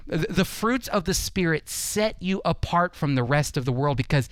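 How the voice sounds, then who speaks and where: A man's voice: on the high side, expressive, moderate pace, no room sound. A man hosting a devotional radio program, teaching scripture earnestly with emphatic phrasing and rhetorical questions. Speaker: radio Bible teacher and host.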